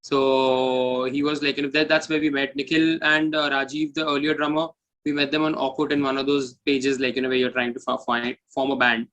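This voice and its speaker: A man's voice: medium pitch, flat delivery, fairly quick, fairly bright tone, poor audio. A man with an Indian English accent talking casually as an interview guest, rambling loosely with plenty of "like, you know". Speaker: band bassist and founder, interview guest.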